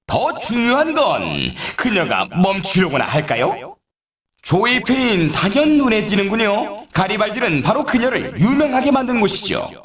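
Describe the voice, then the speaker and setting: A man playing an excitable video game snowboard race announcer, with an emphatic, showy broadcast delivery. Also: high-pitched, roomy sound. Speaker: sports announcer. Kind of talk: scripted sports commentary for a snowboard video game.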